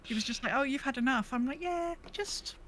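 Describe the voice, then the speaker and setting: A woman's voice: high-pitched, lively intonation, a little fast, a bit bright. Chatty woman with background noise. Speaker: podcast host; veterinary clinic worker. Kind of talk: casual chat.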